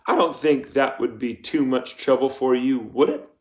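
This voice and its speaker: A man voicing a menacing female camp warden in an audiobook, coldly polite and commanding, speaking softly and deliberately. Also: medium pitch, natural voice. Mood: quiet menace. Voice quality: soft. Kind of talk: audiobook character voice.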